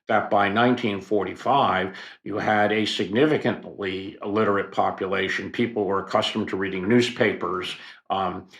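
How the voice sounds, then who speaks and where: An older man explaining history as a podcast guest, in a professorial, unhurried way with fillers and asides. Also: on the low side, fairly even intonation, creaky, a bit bright, roomy sound. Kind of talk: expert explanation in a podcast interview.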